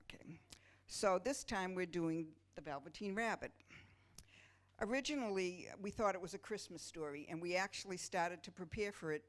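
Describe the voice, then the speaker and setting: Low-voiced woman introducing a performance. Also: normal speed. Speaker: presenter introducing a church reader's theater. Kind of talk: informal spoken introduction to an audience.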